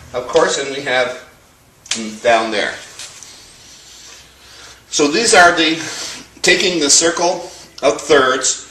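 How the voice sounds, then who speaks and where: A man giving an explanatory music lesson as a teacher, talking step by step in a measured manner. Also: medium pitch, sharp tone, reverberant, a little hiss or hum, rough recording.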